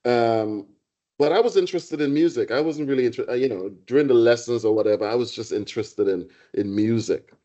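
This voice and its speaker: A man delivers a public lecture in a mellow, unhurried voice. He speaks reflectively, circling back on phrases as he thinks. The recording sounds muffled and lo-fi. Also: mid-range voice. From Trinidad. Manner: reflective, halting with restarts and fillers. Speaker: guest lecturer, poet.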